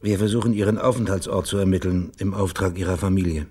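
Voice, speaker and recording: A man's voice: low voice, fairly even intonation, fast talker, warm tone, breathy edge. An actor playing a wry, laconic private investigator and former policeman in a radio crime drama. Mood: businesslike. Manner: dry, laconic, understated. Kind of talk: radio crime drama acting with narrated inner monologue.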